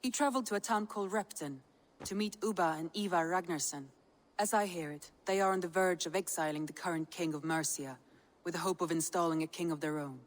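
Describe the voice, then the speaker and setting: An actress playing a shrewd Viking strategist who gives counsel in a measured, businesslike way. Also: female voice, fairly low pitch, close to an everyday voice. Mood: advising. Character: shrewd, composed Viking woman strategist and advisor. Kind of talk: dramatic video game cutscene acting.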